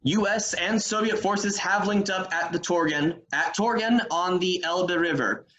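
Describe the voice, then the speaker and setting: A man's voice: high voice, a little slow, pushed and bright. A man playing a 1940s radio announcer reading grave war news in a play table read over a video call.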